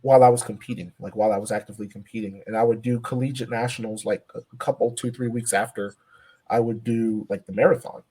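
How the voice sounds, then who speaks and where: A man's voice: fairly low pitch, fairly even intonation, fast, warm tone, breathy edge, rough recording. A man in his twenties, a powerlifter guest on a podcast, talking earnestly with many fillers and restarts. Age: 20s. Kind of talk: casual podcast interview.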